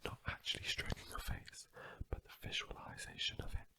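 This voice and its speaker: A man speaking in a soft, breathy whisper, gently guiding and reassuring in an ASMR clinic roleplay. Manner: slow, gentle, reassuring, asking for feedback. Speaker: ASMR performer playing a sleep clinic tester.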